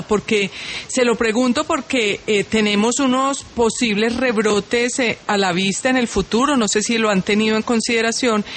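A man's voice: very high-pitched, fairly bright tone, slight background noise. A man working as a radio interviewer, asking long, probing questions.

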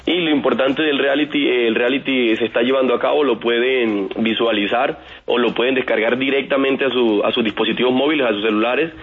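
A man's voice: mid-range voice, steady tone, fairly quick, hardly any pauses, husky. Young Colombian man on a phone line. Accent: Antioquian with Colombian Caribbean coast touches. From Antioquia, Colombia. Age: 20s. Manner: earnest, many "eh" fillers and tag "¿verdad?". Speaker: inventor guest. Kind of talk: radio interview answers.

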